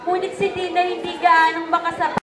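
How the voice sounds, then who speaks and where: Very high-voiced young woman storyteller. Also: little pitch movement, fairly quick, fairly bright tone. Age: young adult. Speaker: puppet show narrator. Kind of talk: scripted storytelling for children. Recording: echoey room with background noise.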